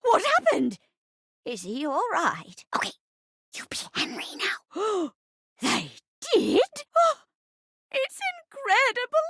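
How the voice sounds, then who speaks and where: A woman voicing a theatrical old woman who is a living chair in a video game, with stagey gasps and hushed asides. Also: wildly swooping pitch, slow pace, no echo, clean studio sound, exaggerated voice. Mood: tender, amazed. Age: older. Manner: stagey, gasping, swooping from hushed asides to high cries. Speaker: datable game character. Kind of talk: video game voice acting, murder-mystery melodrama.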